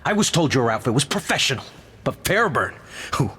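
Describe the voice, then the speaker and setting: A male actor playing a gruff, confrontational American army lieutenant in a video game cutscene, with an American accent and a gravelly voice. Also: mid-range voice, very animated, brisk, pushed and bright, heavily acted. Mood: indignant. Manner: confrontational, clipped, sarcastic. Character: gruff, indignant American army lieutenant who obeys orders.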